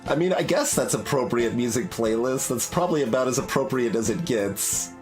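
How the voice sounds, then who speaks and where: A man's voice: mid-range voice, expressive, a little fast. A man giving casual playthrough commentary, thinking aloud in a hesitant, rambling, analytical way. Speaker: game streamer. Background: background game music.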